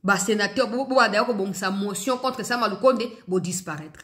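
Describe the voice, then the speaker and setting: A woman's voice: mid-range voice, normal speed, fairly bright tone. A woman with a Congolese accent hosts political news commentary, addressing viewers directly in an emphatic, exhorting way, repeating phrases for stress and switching between two languages mid-sentence. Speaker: host of an online political news commentary show.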